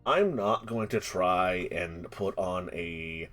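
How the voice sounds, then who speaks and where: A man narrating a tabletop roleplaying game as game master, halting and repeating words as he improvises. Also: low voice, fairly bright tone. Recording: music playing behind the voice. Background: music.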